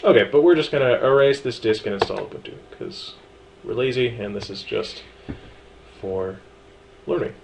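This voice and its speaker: A man casually talking through a software setup tutorial, relaxed and chatty with frequent ums and small asides. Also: mid-range voice, moderate pace, vocal fry, slight background noise, low-quality recording. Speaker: tutorial presenter. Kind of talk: screen-recorded software walkthrough.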